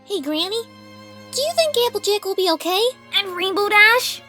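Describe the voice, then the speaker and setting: A woman's voice: very high-pitched, animated, moderate pace, sharp tone, faint music behind, full character voice. A woman voicing a folksy country pony in a cartoon-style audio drama. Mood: caring, folksy. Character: folksy country pony, reassuring family member.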